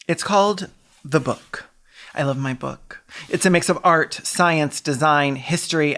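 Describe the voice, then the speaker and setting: Sardonic male video essayist, slightly high voice. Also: fairly bright tone. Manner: sardonic, dry wit. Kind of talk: scripted commentary video essay.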